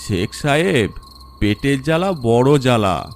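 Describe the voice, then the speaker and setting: A man's voice: medium pitch, big pitch swings, a little slow. Man playing a weary middle-aged graveyard guard. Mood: desperate.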